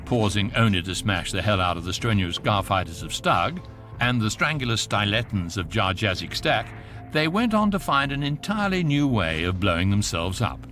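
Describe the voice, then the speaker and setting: A man's voice: fairly low pitch, sing-song, moderate pace, a bit bright, faint music behind. A British man narrating a radio comedy as an urbane, wry galactic guide, with dry storytelling. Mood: wry, grand.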